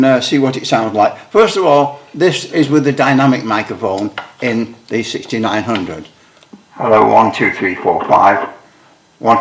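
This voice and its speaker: A man giving a casual hands-on demonstration of CB radio equipment, thinking aloud as he fiddles with settings and microphones. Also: mid-range voice. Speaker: CB radio hobbyist demonstrating gear. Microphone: headset microphone for part of the test.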